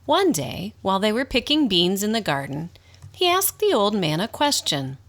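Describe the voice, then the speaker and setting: A woman acting as the narrator of a radio drama, telling a scripted story in a slightly formal, expressive storybook style. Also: medium pitch, moderate pace. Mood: storytelling. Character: storybook narrator, wry and unhurried.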